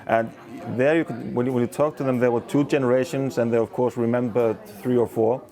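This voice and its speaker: A man, speaking thoughtfully in a documentary interview, measured and unhurried. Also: mid-range voice, fairly even intonation, dark tone. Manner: thoughtful, measured, with pauses and fillers.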